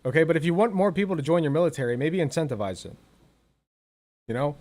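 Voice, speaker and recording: A man giving casual, sarcastic reaction commentary over a clip, swearing freely and tossing off quick asides. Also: a little high, lively intonation, darkish tone. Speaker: political commentator reacting to a video.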